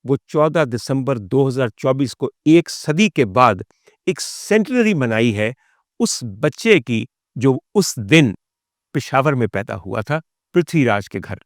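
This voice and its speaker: A man's voice: mid-range voice, expressive, a little slow, dry recording. Pakistani man, theatrical storyteller, dry close sound. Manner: theatrical, rhetorical questions, formal addresses to the audience; mixes English phrases into speech. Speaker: vlog host. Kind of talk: storytelling monologue on film history.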